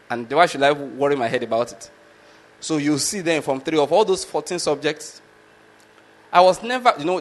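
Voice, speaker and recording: Nigerian man telling a chuckling story. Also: a little high. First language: Yoruba. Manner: chuckling, rhetorical, often asks "you understand my point?". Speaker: medical lecturer. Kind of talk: casual storytelling monologue.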